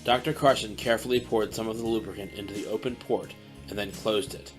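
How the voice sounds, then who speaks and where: A man narrating a story in a plain, even storytelling manner. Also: on the low side, a little fast. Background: guitar music.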